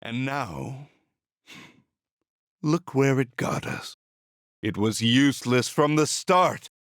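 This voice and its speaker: An embittered villain of a video game, a middle-aged false father consumed by obsession. He speaks slowly and theatrically, with big swings in pitch and a taunting edge, recorded close and dry. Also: expressive, no echo. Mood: smug, confessing. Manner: theatrical, taunting, drawn-out delivery. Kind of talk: dramatic video game voice acting.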